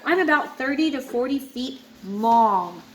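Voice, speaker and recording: Woman playing a shark, storybook, proud and explanatory, lively intonation, faint background noise. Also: high-pitched, measured pace, rough recording. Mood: proud explaining. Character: assorted proud sharks introducing themselves in storybook.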